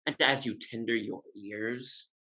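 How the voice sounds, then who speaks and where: A man playing a witty, urbane gentleman playboy in a Restoration comedy, speaking wry, elaborate period phrases in an articulate way. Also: mid-range voice, steady tone, a little slow. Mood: cool, commanding. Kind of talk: Restoration comedy play reading.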